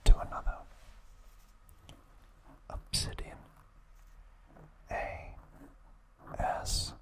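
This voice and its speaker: A man speaking in a breathy, hushed ASMR whisper, in short halting phrases as he hosts a relaxed video.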